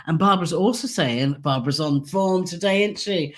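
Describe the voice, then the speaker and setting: A woman with a hoarse, croaky throat co-hosting a casual live Q&A, chatty and giggly as she reads out viewer comments. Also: on the low side, normal speed. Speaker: co-host of a travel channel live stream.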